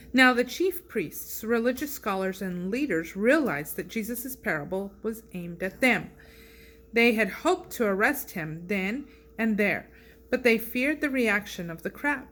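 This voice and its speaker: A woman reading scripture aloud with measured, deliberate phrasing. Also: a little high. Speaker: scripture reader and host.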